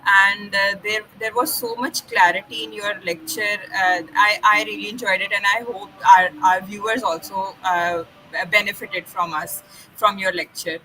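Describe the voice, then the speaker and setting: A woman's voice: medium pitch, fairly even intonation, moderate pace, sharp tone, slight background noise, poor audio. A woman hosting an online live stream, speaking in a courteous, formal way with many fillers. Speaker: host. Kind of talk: formal thank-you as live stream host.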